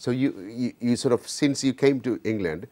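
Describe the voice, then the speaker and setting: A man hosting an interview, firing brisk questions and mixing two languages. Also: mid-range voice, animated, dark tone, no echo. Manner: brisk questioning, mixes Bengali and English. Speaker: host.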